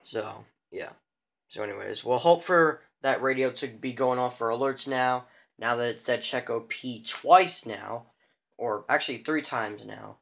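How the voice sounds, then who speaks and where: A man's voice: medium pitch, a little slow, vocal fry, fairly bright tone. A man giving a casual, unscripted show-and-tell of a hobby collection, rambling and repeating himself.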